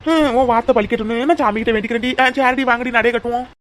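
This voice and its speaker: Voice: mid-range voice, slight background noise, natural voice. A performer voicing a brave, talkative middle-aged village woman. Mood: chatty. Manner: chatty, scolding, quick.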